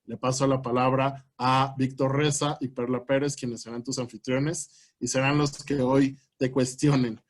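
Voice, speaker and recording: A man's voice: medium pitch, flat delivery, unhurried. A man moderating an online event, handing over with a formal introduction. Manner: formal, announcing.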